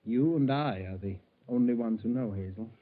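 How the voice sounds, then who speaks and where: An actor playing a nervous, scheming middle-aged embezzler who hesitates and stammers, in 1940s radio style. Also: male voice, medium pitch, normal speed, close to an everyday voice. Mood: coolly scheming. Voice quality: hesitant, stammering under pressure. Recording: tinny, band-limited 1940s radio recording.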